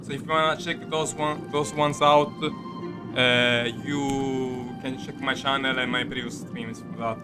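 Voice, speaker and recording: Halting, rambling man streaming over game music. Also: medium pitch, flat delivery. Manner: halting, rambling, repeats himself. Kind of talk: casual gaming commentary, reacting to story.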